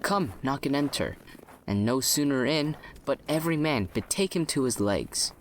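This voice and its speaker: An actor playing a brisk, sensible young gentleman, declaiming in a no-nonsense way in a Shakespearean audio play. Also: mid-range voice, animated, slight background noise, lightly acted. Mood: dismissive, practical.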